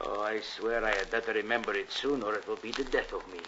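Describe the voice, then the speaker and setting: A man's voice: on the low side, fast talker, lightly acted. An actor playing a cold, commanding male Soviet agent in a 1950s radio drama. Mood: menacing. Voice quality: clipped, coldly commanding. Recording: dull old radio broadcast with hiss.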